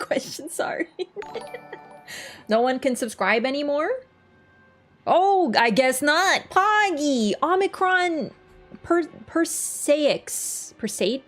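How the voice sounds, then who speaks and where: A woman with a Toronto accent streaming a video game, chatting with viewers in a rambling, self-deprecating way. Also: sits high. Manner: scatterbrained and rambling, self-deprecating, loses her train of thought. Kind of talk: casual livestream chat, reading and thanking viewers.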